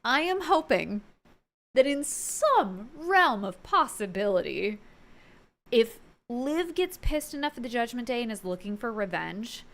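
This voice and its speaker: A woman's voice: fairly high pitch, sing-song, a little slow, a bit bright. A woman giving casual, enthusiastic opinion commentary as a video host, chatty and informal with emphatic delivery.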